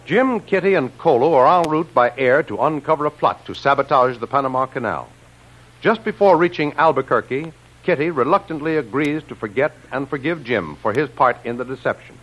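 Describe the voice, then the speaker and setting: A man's voice: mid-range voice, animated, a little fast, fairly bright tone, lo-fi sound. A man announcing and narrating in a formal, declamatory 1930s radio style, reading from a script. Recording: old radio recording with music behind.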